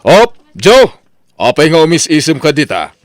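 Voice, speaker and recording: Man playing a probing, animated Ilocano authority figure. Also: a little high, moderate pace. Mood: stern. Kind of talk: comedy radio drama acting.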